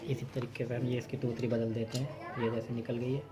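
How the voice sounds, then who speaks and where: A man hosting a repair tutorial, talking through each step at his workbench, briskly and with instructive repetition. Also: medium pitch, little pitch movement, very noisy. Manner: brisk, instructive, repeats "fatafat" and "theek hai". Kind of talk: hands-on repair demonstration.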